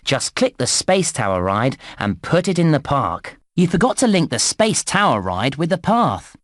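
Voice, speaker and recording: An actor playing a cheerful male park announcer, giving scripted narration and clear instructions to young players in a children's game. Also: medium pitch, no echo, clean studio sound. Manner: upbeat presenter delivery, clear instructions.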